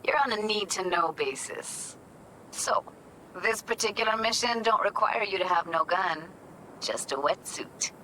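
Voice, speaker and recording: An actress playing a wry, guarded young American street techie in a video game, with slangy, clipped talk. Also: female voice, mid-range voice, animated, a little slow, pushed and bright, slight background noise, rough recording. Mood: teasing. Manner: slangy, clipped, teasing, breaking into breathy asides. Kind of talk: video game dialogue acting.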